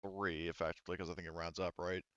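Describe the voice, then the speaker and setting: A man's voice: low voice, level tone, quick pace, dull audio, a crowd in the background. A man acting as game master, talking through rules and narrating a tabletop game casually, often thinking aloud.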